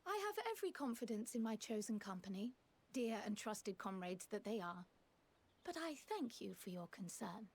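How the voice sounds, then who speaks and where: A woman's voice: medium pitch, very animated, moderate pace. An actress playing a small, self-assured female scholar and leader in a fantasy game, polite and articulate. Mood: confident, courteous. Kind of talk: scripted fantasy game dialogue. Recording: orchestral music behind the voice. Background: game music.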